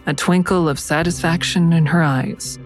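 Blunt, guarded female lead, acted: deep-voiced, dry first-person narration and dialogue for audio drama. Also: fairly even intonation, moderate pace, soft background music, close to an everyday voice. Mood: wary, sardonic. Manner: dry, terse. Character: guarded, blunt, defiant woman narrating her story.

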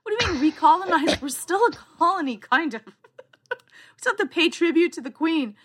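A Canadian woman, a therapist, co-hosting a casual chat podcast, bubbly and quick to laugh. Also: very high voice, lively intonation, fairly bright tone.

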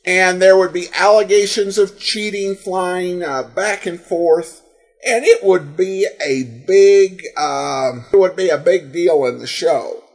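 Halting higher-pitched male podcast host. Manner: halting, frequent ums and uhs. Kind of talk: unscripted commentary and listener feedback.

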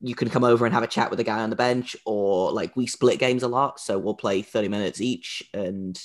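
A man hosting a podcast and chatting casually about goaltending, relaxed and conversational. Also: on the low side, a little fast. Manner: relaxed, conversational, with some filler words. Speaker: podcast host, goalie. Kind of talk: casual hockey chat.

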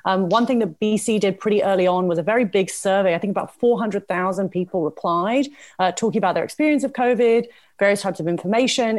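Brief: quick, articulate British woman, panel guest on a video call, laughs lightly between points. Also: medium pitch, a little mellow. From United Kingdom. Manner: brisk, articulate, laughing asides. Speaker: panelist on public communication.

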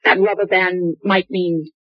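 An actress playing a sharp, guarded young woman in 1940s radio drama style, on an old dry radio recording. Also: on the low side, fairly even intonation, measured pace, dry recording, dull audio. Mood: tense.

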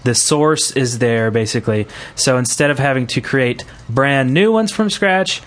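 A man's voice: mid-range voice, measured pace, a bit bright. Casual man hosting a podcast. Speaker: podcast host. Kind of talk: casual gaming podcast chat.

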